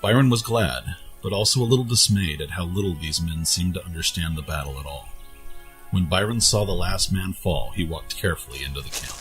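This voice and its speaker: Very deep-voiced male story narrator. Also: fairly bright tone, poor audio. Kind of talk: scripted fantasy story narration.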